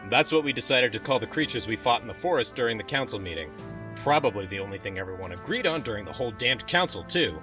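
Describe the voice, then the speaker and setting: Casting: good-natured young male commander, actor-played, animated pitch, middle register, audio drama. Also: fast, over music, natural voice, rough recording. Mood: businesslike. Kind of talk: fan audio drama acting.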